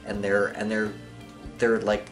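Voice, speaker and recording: A man's voice: lowish voice, completely flat delivery, a little slow, soft background music. A young adult man vlogging casually to camera in a living room, rambling with lots of fillers and small laughs. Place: living room with lamp and couch, indoors.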